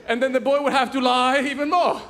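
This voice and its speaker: A man's voice: very high-pitched, little pitch movement, brisk, a bit bright. A man preaching in a church, telling funny stories and laughing at his own jokes. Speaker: pastor. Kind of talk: humorous sermon storytelling. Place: church sanctuary.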